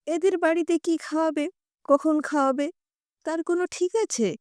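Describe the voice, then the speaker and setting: A woman's voice: extremely high pitch, fairly even intonation, moderate pace, a little mellow, no room sound, clean studio sound. A woman narrator voicing a practical, disapproving mother-in-law who nags with pointed questions. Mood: doubtful, nagging. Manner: nagging rhetorical questions.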